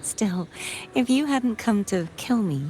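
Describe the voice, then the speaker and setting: A woman's voice: a little high, animated, slow, warm tone, slight background noise. Gracious, earnest young noblewoman. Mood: solemn gratitude. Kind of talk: video game voice acting.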